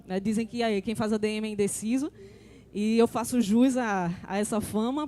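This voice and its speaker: A woman moderating a live panel on a conference stage, talking in a halting way with many fillers and restarts. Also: fairly high pitch, a little mellow. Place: conference stage before an audience.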